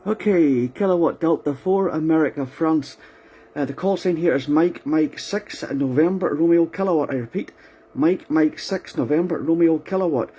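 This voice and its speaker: A man's voice: a little high, normal speed, warm tone, a little hiss or hum. A man making a ham radio contact, methodically spelling out call signs in the phonetic alphabet and repeating them. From County Antrim, Northern Ireland.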